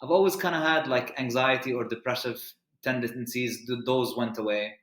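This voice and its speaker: A man's voice: mid-range voice, steady tone, normal speed, fairly bright tone, roomy sound. A man who grew up in the Middle East, a physician guest on a podcast, explaining earnestly with frequent fillers and restarts. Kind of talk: podcast interview.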